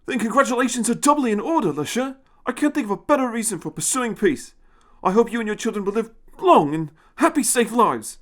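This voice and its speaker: A man's voice: extremely high pitch, animated, fairly quick, a bit bright, pitched above the performer's own voice. A man voicing a nervous, kindly young man in an audio story, hesitant, stammering and politely awkward. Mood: polite surprise.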